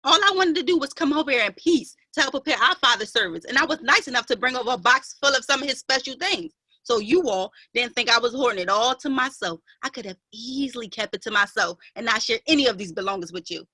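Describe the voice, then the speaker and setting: Casting: woman playing wronged, proper sister, bright forceful high voice, emphatic and pleading, dry close recording. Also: animated, fast, husky, dry recording, exaggerated voice. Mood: wounded, protesting. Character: aggrieved, indignant half-sister, sheltered and proper. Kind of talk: dramatic play reading.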